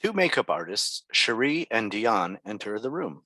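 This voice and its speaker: A man narrates the stage directions of a script at a table read. His voice is fairly low, and the sound is dry with no room echo. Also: big pitch swings, moderate pace, sharp tone.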